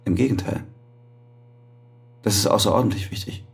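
A man's voice: on the low side, moderate pace, vocal fry, breathy edge, exaggerated voice. An actor playing a numbed, embittered male prisoner in a radio drama, talking in a wry, detached way. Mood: probing. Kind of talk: radio drama acting with inner monologue.

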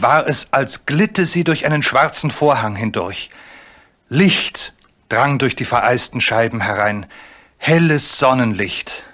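A man's voice: mid-range voice, pushed and bright. A man narrating a scripted children's adventure story as the narrator of a radio play. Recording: faint background noise.

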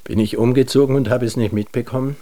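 Actor as a sullen older father, mellow-voiced. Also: medium pitch, steady tone, quick pace, close to an everyday voice. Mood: bitter, sardonic. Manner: sullen, sardonic. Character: bitter grumpy older jobless father, feeling discarded. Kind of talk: naturalistic German radio drama acting.

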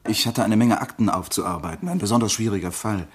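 A defensive husband in a radio crime play, low and husky in voice. He answers haltingly and evasively under questioning. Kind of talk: German radio crime drama acting.

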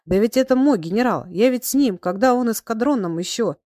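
A woman voicing a loud, tipsy old peasant ex-soldier who rambles in devoted exclamations, for a storybook. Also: fairly high pitch, animated, brisk, no room sound, clean studio sound, pitched above the performer's own voice, close to an everyday voice. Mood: excited, insistent. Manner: exclamatory, rambling folk speech.

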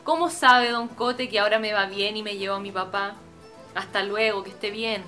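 Bright, insistent Chilean daughter played by a woman. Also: medium pitch, fairly even intonation, moderate pace, faint music behind, close to an everyday voice. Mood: polite, concerned. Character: insistent, status-conscious doctor daughter pleading with father. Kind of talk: radio drama acting.

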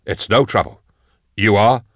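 A man's voice: on the low side, unhurried, dry recording, clean studio sound. A man voicing a cool, authoritative male investigator in an audiobook, speaking in a terse, controlled way. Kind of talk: audiobook character dialogue.

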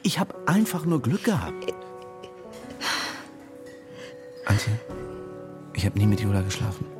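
An actor playing a weary, resigned diving instructor who narrates a radio drama in a terse, matter-of-fact way. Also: male voice, on the low side, very animated, normal speed, over music. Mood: defensive. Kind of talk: German radio drama, intimate first-person narration and dialogue.

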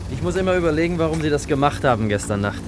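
An actor as a young man in a radio play, earnest and hesitant. His voice is fairly high for a man, with clear outdoor traffic noise behind it. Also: expressive, very fast, lightly acted. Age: young adult. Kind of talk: German radio drama acting.

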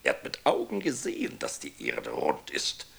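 An actor playing a stern, stubborn navy captain in a radio play, lurching between muttering and barking. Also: male voice, extremely low pitch, huge pitch swings, normal speed, vocal fry, a bit bright, heavily acted. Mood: brooding. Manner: erratic swings from muttering to barking. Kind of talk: German radio play acting.

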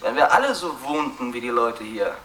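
A man playing a rough, taunting young gang criminal in a thriller drama, slangy and goading, recorded at a distance with noisy ambience. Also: medium pitch, lively intonation, fairly quick, bright, forceful tone. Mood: agitated, preaching.

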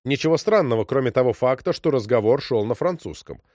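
A man's voice: mid-range voice, animated, fairly quick, darkish tone, no room sound. Man narrating stories in a dry close sound. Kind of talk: scripted narration.

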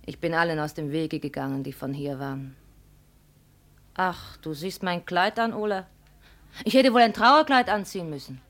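An actress playing a proud, brisk and regretful daughter come home from the city in a 1950 radio play. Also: female voice, on the low side, lightly acted. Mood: brisk, defensive. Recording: vintage 1950 radio recording.

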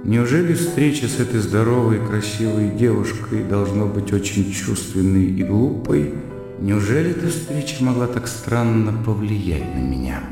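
An actor playing a cerebral, self-controlled gentleman who muses analytically in a radio drama inner monologue. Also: fairly low pitch, animated, normal speed, vocal fry. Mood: uneasy reflection. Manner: musing, analytical. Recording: cavernous long reverb, music behind.

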